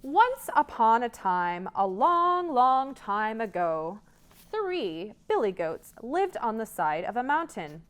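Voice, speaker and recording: A woman's voice: fairly high pitch, sing-song, takes it slowly, fairly bright tone, smooth. A woman hosting a children's storytime, telling stories interactively and coaching young listeners along with questions. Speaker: children's librarian, storytime host.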